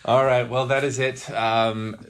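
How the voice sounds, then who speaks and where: A man hosting a podcast in casual, somewhat halting chat. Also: medium pitch, level tone, a little hiss or hum. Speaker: podcast host.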